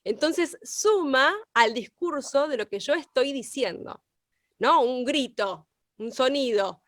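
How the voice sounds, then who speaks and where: Argentine woman w a high voice explaining with little acted examples, very dry audio. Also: slow pace, no echo. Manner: expressive, illustrative. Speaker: radio language teacher.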